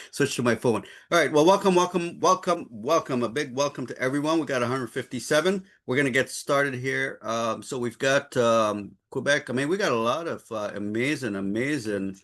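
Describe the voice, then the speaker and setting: A man from the Caribbean hosting an informal online webinar, upbeat and effusive, in a wordy, halting way. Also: medium pitch, a bit bright. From Trinidad and Saint Croix, Caribbean. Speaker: host and trainer of online group call.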